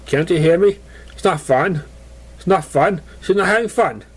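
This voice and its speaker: A man's voice: high voice, normal speed, slightly rough. A man voicing an anxious young female pony in a story, pleading and insistently repeating protests. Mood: pleading, upset.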